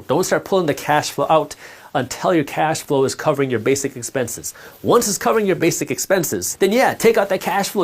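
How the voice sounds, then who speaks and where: A man giving a direct-to-camera personal finance lesson, emphatic and repetitive, talking straight to the listener. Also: fairly high pitch.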